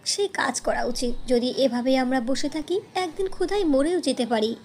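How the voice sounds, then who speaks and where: A woman's voice: sits high, moderate pace, darkish tone, a little hiss or hum. A woman voicing a caring older village mother-in-law in a cartoon, affectionate, fretful and emphatic. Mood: practical.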